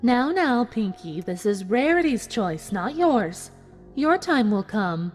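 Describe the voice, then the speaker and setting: A cruel cartoon unicorn villainess, voiced by a woman, speaks in a high voice that rises and falls dramatically. She is smug and taunting, keeping a calm menace, with music faintly behind her. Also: takes it slowly. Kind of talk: dramatic fan fiction audio reading.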